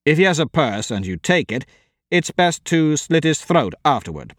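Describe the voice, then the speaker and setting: A man voicing a brooding, cynical rogue in a fantasy audiobook, speaking curtly in a dry deadpan. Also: normal speed, no room sound. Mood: dry, matter-of-fact. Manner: low-key, dry, deadpan. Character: cynical, brooding male rogue, curt and unsentimental.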